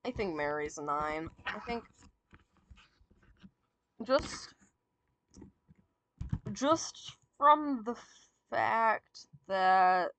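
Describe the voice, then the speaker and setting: A woman giggling and rambling as she ranks songs, her voice slightly raspy and sore from talking. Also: mid-range voice, animated, extremely slow, long pauses, fairly bright tone, dry recording, poor audio. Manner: giggly, rambling, many fillers and restarts. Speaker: VTuber streamer. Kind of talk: casual fan commentary, ranking songs.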